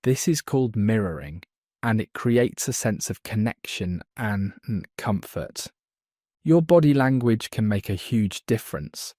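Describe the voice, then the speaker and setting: A man teaching a beginner English lesson, speaking slowly and clearly so learners can follow. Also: fairly low pitch, lively intonation, mellow, no room sound, studio quality. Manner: slow, clear, deliberate delivery for learners. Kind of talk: slow scripted lesson narration.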